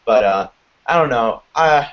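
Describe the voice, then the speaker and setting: A teenage young man with a deep baritone voice, talking casually and haltingly as a guest on a group call. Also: lively intonation. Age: teens. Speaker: guest on a writing advice stream. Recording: muffled lo-fi internet call audio.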